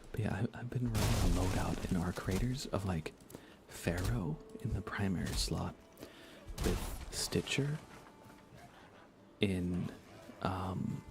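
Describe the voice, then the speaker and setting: A man speaking softly as an ASMR game streamer, hushed and often near a whisper, rambling casually as he chats with viewers. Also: deep voice, slow pace, vocal fry, pauses often, background noise. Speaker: live streamer. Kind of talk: soft-spoken ASMR gaming livestream chat.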